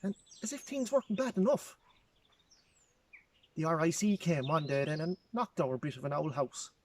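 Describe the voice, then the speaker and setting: Man playing a high-voiced, sorrowful Irish pig farmer. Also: a little hiss or hum, lightly acted. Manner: plain rustic storytelling, halting repeats. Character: grieving, poor nineteenth-century pig farmer and father. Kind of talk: dramatic historical monologue.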